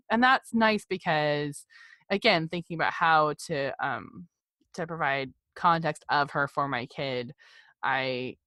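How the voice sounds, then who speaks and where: A woman's voice: sits low, fairly bright tone, dry recording. A woman in her forties speaking candidly as a podcast guest, in a reflective, rambling, conversational way. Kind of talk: candid personal interview conversation.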